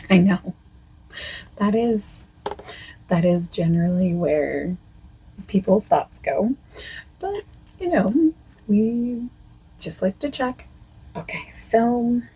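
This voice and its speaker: A woman speaking softly and partly in whispers as a costume consultant in an ASMR roleplay, with a hesitant, filler-heavy way of talking. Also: slightly rough, low-quality recording. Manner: soft-spoken, drifting between whisper and low voice. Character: female costume consultant asking the listener questions.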